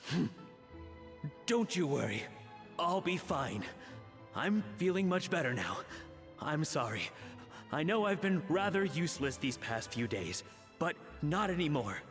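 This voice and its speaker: Humble family-man chef: lightish male pitch, earnest, apologetic, game dialogue, faint music. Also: sing-song, unhurried, pauses often. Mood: apologetic resolve. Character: earnest, humble middle-aged father and chef, unsure of himself. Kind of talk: video game voice acting.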